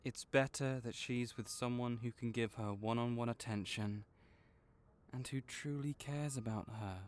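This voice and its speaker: A man playing a kind, patient veterinarian for magical creatures in a comforting audio roleplay, explaining things gently and encouragingly. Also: medium pitch, fairly even intonation, measured pace, natural voice. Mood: earnest, sympathetic. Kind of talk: comforting audio roleplay, one-sided conversation.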